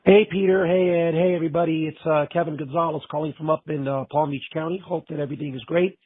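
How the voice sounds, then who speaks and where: Raspy man with a cold on a phone line. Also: on the high side, fairly even intonation, no echo. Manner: halting, with many pauses. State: has a bad cold. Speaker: caller. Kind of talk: voicemail message to a show.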